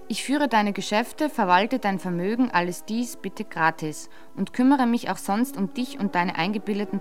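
An actress playing a composed, sharp-witted woman novelist in a German radio drama, matter-of-fact and dryly ironic. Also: medium pitch, close to an everyday voice. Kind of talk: German radio drama acting, often reading aloud.

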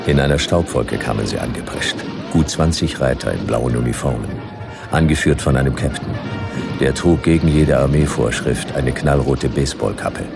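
An actor playing a hardboiled, laconic detective who narrates drily and deadpan in a radio play, with a gravelly voice. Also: male voice, extremely low pitch, moderate pace, over loud music. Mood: dry, deadpan. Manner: dry, deadpan. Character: hardboiled laconic male detective narrating his Western ordeal. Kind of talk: radio play first-person noir narration.

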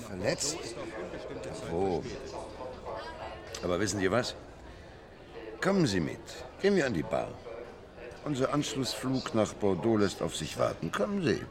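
Deep-voiced, brooding blind critic. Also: male voice, huge pitch swings, unhurried, gravelly, pauses often, crowd noise, heavily acted. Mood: sardonic, insistent. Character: blind male music critic, sardonic, intense, confessional. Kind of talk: radio drama monologue and dialogue.

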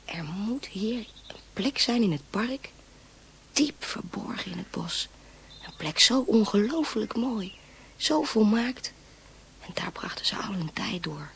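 An actress playing a dreamy, romantic young woman in love in a radio drama, wistful and often hushed and breathy. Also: medium pitch, animated, a little slow, full character voice. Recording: clear outdoor nature noise.

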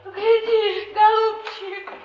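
A woman's voice: very high-pitched, little pitch movement, extremely slow, muffled recording, heavily acted. An actress playing a downtrodden, timid young slum woman, plaintive and resigned, in a 1950s Soviet stage drama. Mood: mournful, resigned. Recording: echoey theatre hall, background hiss.

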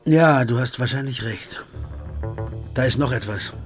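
A man's voice: medium pitch, a little slow, husky, soft background music. An actor playing an earnest jazz pianist who questions people and hesitates when he's worried. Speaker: narrator and protagonist. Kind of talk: audiobook drama acting.

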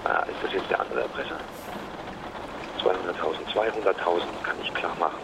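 A man's voice: low voice, brisk, gravelly. An actor playing a wary, powerful man being blackmailed in a radio crime drama, terse and grudging on a noisy phone call. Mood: terse, cornered. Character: wary male blackmail victim, powerful and curt.

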